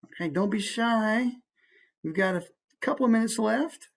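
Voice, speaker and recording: A woman's voice: fairly high pitch, normal speed, vocal fry. A woman teaching a workshop, coaching a group casually in an encouraging way.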